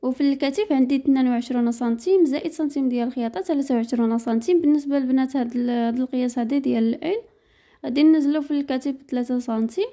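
Woman, Moroccan Arabic accent, sewing teacher doing a voice-over; quick, matter-of-fact, high and mellow. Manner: brisk, matter-of-fact, reading out measurements. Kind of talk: step-by-step sewing tutorial voice-over.

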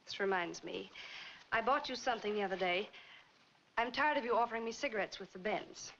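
An actress playing a cool, flippant young gold-digging model in a 1940s film, with a wry, offhand manner. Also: female voice, medium pitch, brisk, a bit bright, slight background noise, close to an everyday voice. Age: young adult. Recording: dull 1940s film soundtrack with music.

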